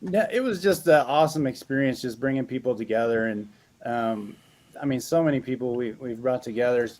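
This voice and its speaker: A man's voice: mid-range voice, a little fast, a little mellow. A man speaking as a game developer guest, upbeat and enthusiastic in casual chat.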